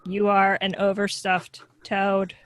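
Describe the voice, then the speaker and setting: A woman playing a literal, obedient child robot with a flat, robotic delivery in a sitcom table read. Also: mid-range voice, slow pace, lightly acted. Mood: flatly insulting.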